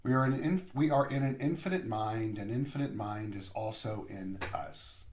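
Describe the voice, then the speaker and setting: A man giving a slow, reflective sermon as a minister, pausing often between phrases. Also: lowish voice. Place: room, via online service. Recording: roomy echo.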